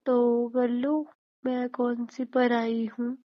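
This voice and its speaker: A woman's voice: a little high, little pitch movement, slow pace, dry recording. A woman playing a coy, questioning wife who banters and mildly protests in a casual at-home conversation. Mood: coy, bantering. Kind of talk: intimate Hindi role-played couple conversation. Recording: muffled, lo-fi, dry call-style recording.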